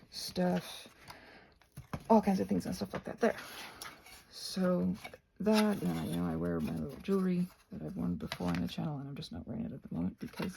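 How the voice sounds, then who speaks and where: An American woman rambling casually while she does crafts, halting and trailing off into soft-spoken asides. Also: fairly low pitch, gravelly, background noise. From United States. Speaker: craft and ASMR channel host.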